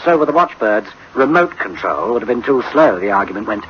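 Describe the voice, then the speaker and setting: A man's voice: on the low side, sing-song, a little fast. An actor playing a sceptical, conscience-stricken man in charge in a 1960s radio drama. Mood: sceptical, probing. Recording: dull old radio recording, faint hiss.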